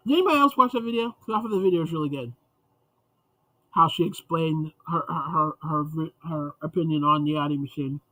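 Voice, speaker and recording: A man's voice: on the high side, brisk, pushed and bright, no echo, low-quality recording. A man hosting a casual livestream chat, rambling and chatty with frequent restarts, sounding congested and sniffly. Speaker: livestream host.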